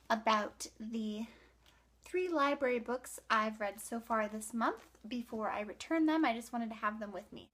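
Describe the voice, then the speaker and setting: High-voiced woman chatting about books. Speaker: book vlogger. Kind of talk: casual to-camera book review chat.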